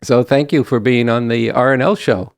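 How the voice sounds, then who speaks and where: A man's voice: medium pitch, fairly even intonation, moderate pace, vocal fry, clean tone. A man hosting a political talk show, speaking as the host to guests and viewers.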